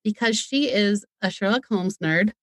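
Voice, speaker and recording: A woman's voice: medium pitch, measured pace, dry recording, clean studio sound. A woman speaking as a podcast guest, chatting casually in a talkative, playful way. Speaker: podcast guest, audio drama creator. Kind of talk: casual interview chat.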